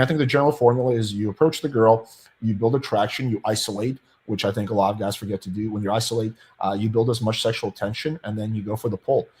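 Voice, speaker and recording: A man with a deep voice giving blunt, profane dating advice in a casual panel chat, reading out viewer questions. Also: a little mellow.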